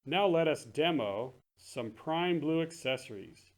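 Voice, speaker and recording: A man's voice: fairly high pitch, animated, a little slow. A man presenting products as a company spokesman in a straightforward promotional video style. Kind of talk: scripted product narration, later casual demo chat.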